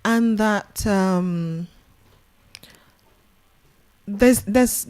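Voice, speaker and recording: A young woman novelist talking in a live festival conversation, measured and reflective, with bursts of self-mocking humor. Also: medium pitch, darkish tone, clear, resonant tone. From Nigeria. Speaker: novelist guest.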